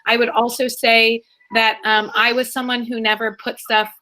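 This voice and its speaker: A woman's voice: a little high, little pitch movement, moderate pace, pushed and bright. A woman speaking earnestly and reflectively as a webinar panelist. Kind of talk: casual video call chat. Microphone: video call.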